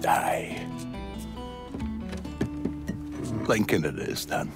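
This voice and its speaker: An actor playing a bitter, middle-aged mobster with a wry, grieving, brooding manner in a video game cutscene. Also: male voice, loud music behind the voice, natural voice. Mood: grieving, weary. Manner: slow, brooding, halting, swearing.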